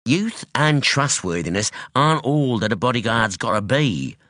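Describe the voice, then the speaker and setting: A man's voice: mid-range voice, expressive, moderate pace, fairly bright tone, no echo, clean studio sound, voice pushed up, lightly acted. A man voicing a guarded middle-aged father who answers tersely and evasively, in an audiobook. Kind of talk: audiobook dialogue.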